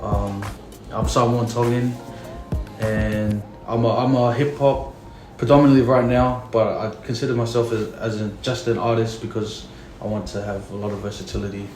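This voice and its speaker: A man, a rapper talking in a relaxed, slangy podcast chat. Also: fairly low pitch, moderate pace, soft background music. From Australia. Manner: laid-back, slangy, agrees often. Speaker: rapper and producer, podcast guest. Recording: roomy studio echo.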